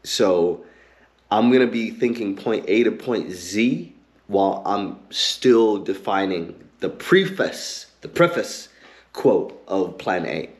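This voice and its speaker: A man hosting his own podcast, ranting heatedly and cursing a lot, with an occasional stutter and pauses filled with um and like. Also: fairly low pitch, expressive. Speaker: podcast host. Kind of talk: ranting commentary.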